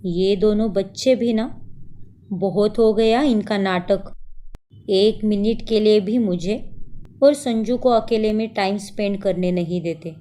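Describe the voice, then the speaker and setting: A woman's voice: mid-range voice, a little slow, mellow, lightly acted. A woman voicing a pushy, coquettish and possessive scheming rival in a melodramatic cartoon story. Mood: menacing, possessive.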